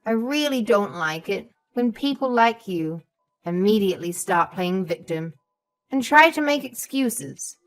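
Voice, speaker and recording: A woman's voice: mid-range voice, animated, unhurried, faint music behind, close to an everyday voice, poor audio. A woman playing a haughty, suspicious elderly mother-in-law, scornful and condescending, in a scripted audio story. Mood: accusing. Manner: condescending, sharp, calls others sweetheart and darling.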